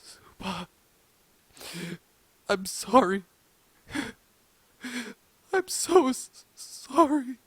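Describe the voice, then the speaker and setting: Young cartoon pup, played by a man: raised pitch, earnest, sensitive, stammering with feeling. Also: expressive, slow pace, no room sound. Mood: sobbing, grieving. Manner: stammering, emotional.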